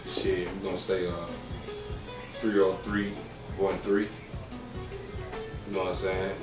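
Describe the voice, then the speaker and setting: A man in his thirties with a deep voice and an Atlanta accent, a podcast guest talking in a rambling, joking, profane way. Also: completely flat delivery, measured pace. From Atlanta, Georgia. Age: 30s. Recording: echoey room, distant mic, music behind.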